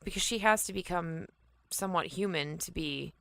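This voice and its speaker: Low-voiced creaky woman, casual and slangy. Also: normal speed, pushed and bright, dry recording, studio quality. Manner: informal, slangy, swears casually. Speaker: co-host brainstorming a horror audio drama. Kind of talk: casual creative brainstorming chat.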